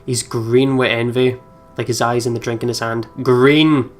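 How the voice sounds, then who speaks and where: Very low-voiced woman, dramatic game narrator. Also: moderate pace. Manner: dramatic, expressive reading with profane asides. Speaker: let's play video host. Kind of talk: voiceover reading of game narration with candid reactions. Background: game music.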